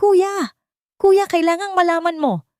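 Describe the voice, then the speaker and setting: A woman's voice: very high voice, unhurried, no echo, clean studio sound, pitched above the performer's own voice. A woman voicing an anxious, tearful younger sister, urgent and panicky, in a romance audio drama. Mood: frantic, tearful.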